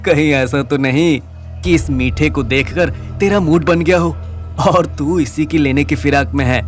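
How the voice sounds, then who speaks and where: Man playing a cruel college bully, higher-pitched. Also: measured pace, soft background music, close to an everyday voice. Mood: menacing. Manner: crude, threatening, taunting. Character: cruel young male college gang-leader bully, menacing. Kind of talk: Hindi audio story character acting.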